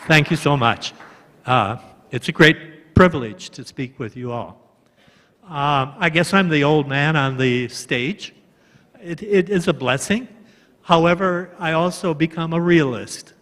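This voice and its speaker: A man in his seventies from Hawaii, a professor opening a panel talk with courteous, gently humorous remarks. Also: fairly high pitch, unhurried. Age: 70s. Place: large conference hall. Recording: reverberant conference hall.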